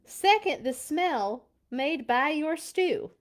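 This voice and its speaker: A woman's voice: high-pitched, expressive, takes it slowly, pitched above the performer's own voice. A woman voicing an encouraging female scout leader in a storybook, speaking ceremoniously and with emphasis. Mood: proud, approving. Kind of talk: animated storybook read-aloud.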